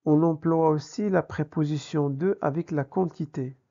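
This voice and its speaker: A man's voice: fairly high pitch, normal speed, mellow. A man teaching a language lesson in a didactic way, posing questions and answering them himself, in a slightly dull recording. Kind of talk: grammar lesson with examples.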